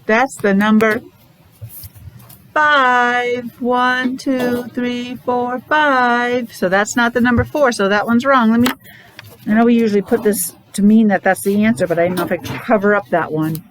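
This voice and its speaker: A woman's voice: fairly high pitch, a little hiss or hum, low-quality recording. A woman preschool teacher leading a counting lesson for young children, encouraging and asking lots of questions. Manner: encouraging, asks questions with pauses.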